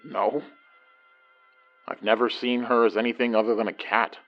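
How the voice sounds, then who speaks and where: A man's voice: medium pitch, flat delivery, moderate pace, dull audio, faint music behind, lightly acted. An actor playing a reserved, self-doubting man in an audio drama, hesitant and sincere. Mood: quiet, wry. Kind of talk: naturalistic audio drama acting.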